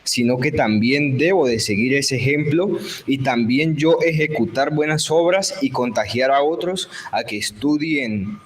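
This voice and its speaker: A man's voice: medium pitch, unhurried, hardly any pauses. A theology student gives a prepared, earnest testimony about his studies.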